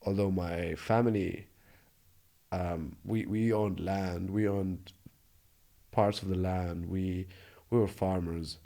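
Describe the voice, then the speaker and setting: A man's voice: low voice, steady tone, slow, creaky, long pauses, dark tone. A man in his twenties from Jordan, telling his story in an earnest, reflective way with many restarts. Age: 20s. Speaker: interview guest. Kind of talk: casual conversational interview. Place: Australia.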